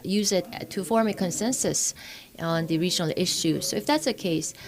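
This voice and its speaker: A woman giving simultaneous interpretation at a forum, speaking in halting phrases with pauses and restarts. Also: on the low side, expressive.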